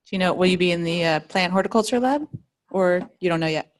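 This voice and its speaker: A woman leading introductions at a student research orientation, friendly and quick with questions, speaking into a single room microphone. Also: fairly low pitch. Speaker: program organizer leading orientation. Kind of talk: casual hosting of introductions. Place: lecture room with a remote caller. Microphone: single room microphone, carried.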